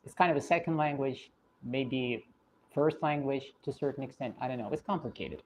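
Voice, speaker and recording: Low-voiced woman with Russian-British accent. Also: fairly quick, a little mellow, a little hiss or hum, poor audio. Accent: Russian with British English. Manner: thoughtful, hesitant, many fillers and restarts. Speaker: streamer answering viewer questions. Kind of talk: casual livestream chat.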